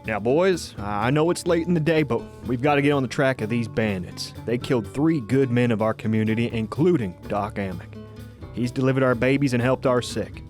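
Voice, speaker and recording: An actor playing an authoritative, folksy county sheriff in a radio drama. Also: male voice, mid-range voice, moderate pace, darkish tone, soft background music, close to an everyday voice. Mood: solemn, commanding. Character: commanding county sheriff leading a posse in mining country.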